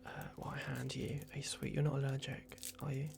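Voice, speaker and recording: A man voicing a playful, fussy vampire doctor in an ASMR roleplay, murmuring halting reassurances in a breathy whisper. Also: mid-range voice, completely flat delivery, noisy background. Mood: gently attentive. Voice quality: breathy whisper with gravelly creak.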